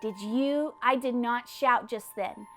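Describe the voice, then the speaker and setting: An excitable, boastful little kindergarten girl, acted out by a woman reader, high and emphatic. She chatters in a childlike storybook voice over faint music. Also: slow pace. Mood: eager pleading. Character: excitable, boastful kindergarten girl narrating her school day. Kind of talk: children's storybook character reading.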